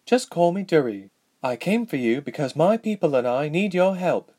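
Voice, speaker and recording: A petite young princess, acted by a male narrator in a fantasy storybook. She speaks in a raised, swooping pitch. Her manner is earnest and emphatic, with an impatient, exasperated edge. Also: big pitch swings, moderate pace, dark tone, husky. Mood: brisk.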